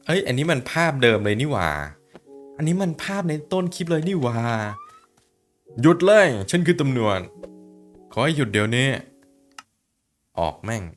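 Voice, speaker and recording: A man hosting a reaction video, talking casually with excitable outbursts and repeated words. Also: medium pitch, moderate pace, long pauses, soft background music.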